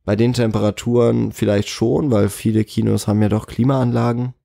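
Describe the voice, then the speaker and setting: A man in a casual interview as a guest, slightly croaky from a cold, speaking in a hesitant, reflective way. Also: fairly low pitch, fairly even intonation, a little fast, warm tone, no room sound, studio quality. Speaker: interview guest, cinema manager.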